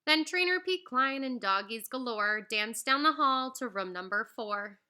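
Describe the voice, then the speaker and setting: A woman reading a children's picture book aloud in an animated, singsong storyteller's way, with teacherly asides. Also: on the high side.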